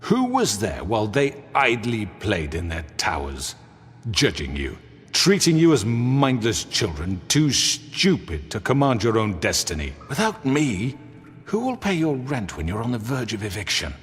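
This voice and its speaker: An actor playing a smooth-talking crime boss in a video game, speaking with theatrical, mock-polite oratory. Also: male voice, fairly low pitch, huge pitch swings, a little slow, faint music behind. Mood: rousing oratory. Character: smooth-talking male crime boss defending himself before townsfolk. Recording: echoey hall reverb.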